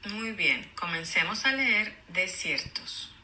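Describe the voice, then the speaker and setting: A woman teacher reading aloud to children in a deliberate, explanatory way, enunciating for young learners. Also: on the low side, animated, bright, forceful tone, slight background noise. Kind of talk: children's read-aloud lesson.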